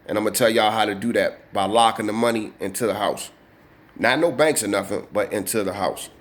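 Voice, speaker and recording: A man's voice: fairly low pitch, brisk. A man in his early forties talks straight to the camera from his desk. His delivery is loose and streetwise, full of slang and cursing. He keeps checking in with "you know what I'm saying" as he goes. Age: 40s. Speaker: channel host. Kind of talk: casual vlog talk to camera.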